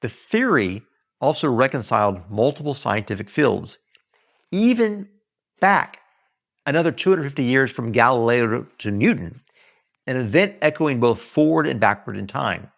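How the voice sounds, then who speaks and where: A man reading his own book aloud in an earnest, emphatic, preacher-like manner, as in an expressive audiobook. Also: medium pitch, normal speed, creaky.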